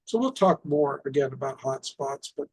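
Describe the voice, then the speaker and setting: A middle-aged man with a husky voice gives an informal explanatory presentation to club members, often posing rhetorical questions. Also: medium pitch, expressive, normal speed. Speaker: presenter of an amateur radio club session.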